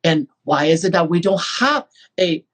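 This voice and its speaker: A man's voice: a little high, animated, moderate pace, fairly bright tone. A man hosting a talk show, effusive and rambling, with many restarts. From Taiwan. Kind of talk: casual interview chat.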